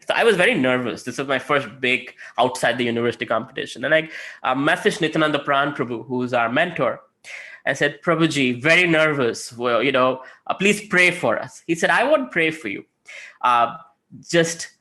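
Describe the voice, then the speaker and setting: A man in his thirties, not a native English speaker, giving a sermon through personal stories. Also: on the high side. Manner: storytelling, animated, rising to emphatic peaks. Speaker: guest speaker.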